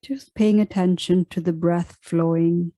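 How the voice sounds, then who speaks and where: Woman doing guided meditation, lowish pitch, really dry close sound, soft n deliberate. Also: steady tone, no echo. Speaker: meditation teacher.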